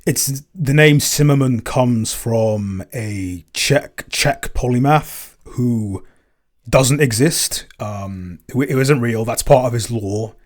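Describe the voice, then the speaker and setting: A man chatting casually as a podcast writer in a Q&A, speaking in a halting, hesitant way with stammered word starts. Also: medium pitch. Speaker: podcast writer and co-creator answering listener questions. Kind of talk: casual Q&A chat.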